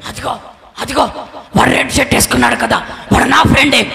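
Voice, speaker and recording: A man voicing a loud, theatrical Satan puppet in a children's church show, taunting and repeating himself. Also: very high-pitched, steady tone, fast talker, vocal fry, exaggerated voice. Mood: taunting, boastful. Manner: theatrical, exclamatory, repetitive. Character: Satan puppet, loud taunting devil, easily frightened of Jesus. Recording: echoey hall with long reverb.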